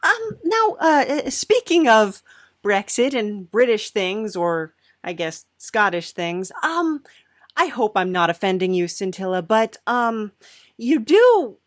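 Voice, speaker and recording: A woman playing an eager, servile devil's apprentice hosting a comedy podcast, gushing, halting and apologetic. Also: mid-range voice, very animated. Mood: hesitant, polite. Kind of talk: improvised character comedy interview.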